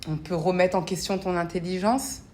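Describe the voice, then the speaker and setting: A woman's voice: fairly low pitch, steady tone, moderate pace, a little mellow, a little hiss or hum. A woman giving reflective interview answers in an earnest, searching manner, often repeating words. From grew up between French and Congolese cultures. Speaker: interview guest, parliamentary assistant and association founder.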